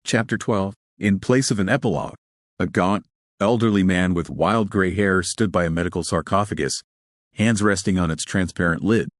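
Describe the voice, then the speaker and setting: Very deep male storyteller, close and dry. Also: moderate pace, dry recording. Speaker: narrator of an audio story. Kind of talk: scripted dramatic narration of sci-fi horror.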